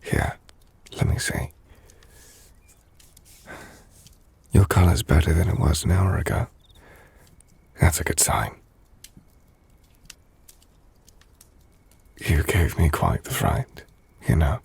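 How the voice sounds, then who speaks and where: A man playing a brooding, gentle, melancholy vampire-blooded castle lord in an intimate ASMR audio roleplay, hushed and husky, speaking slowly in measured, formal phrases. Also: a bass voice, lively intonation, no room sound, heavily acted. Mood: tender, concerned. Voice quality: gravelly, husky, breathy.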